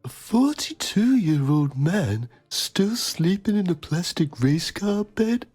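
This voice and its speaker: A woman's voice: on the low side, sing-song, takes it slowly, a little mellow, slightly rough, soft background music, exaggerated voice. A woman voicing a pompous, lecherous male journalist who narrates a mock documentary in a game with self-important drama. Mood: intrigued, mocking.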